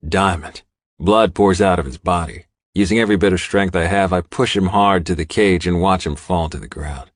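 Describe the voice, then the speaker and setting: Male audiobook narrator, low-pitched, dramatic first-person storytelling, dry close studio sound. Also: normal speed, no room sound.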